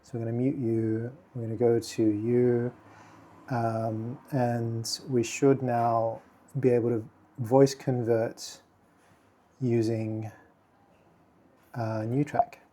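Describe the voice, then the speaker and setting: A man hosting a casual software tutorial, speaking quietly and thinking aloud with many fillers as he works. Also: mid-range voice, level tone, slow pace, long pauses. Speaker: video tutorial host. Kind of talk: casual screen-recording tutorial.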